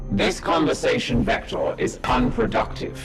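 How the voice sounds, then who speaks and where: An actor playing a cold, analytical machine-augmented tech priest in a game, with clinical, formal, stilted phrasing. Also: male voice, medium pitch, expressive, normal speed, over music, natural voice. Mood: clinical, cautioning.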